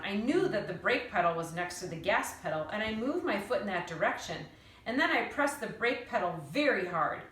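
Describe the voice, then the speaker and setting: Female read-aloud teacher, bright tone, expressive children's storytelling, recorded off-mic in a room. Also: medium pitch. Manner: animated, dramatic storytelling with emphatic words. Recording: roomy echo, distant mic.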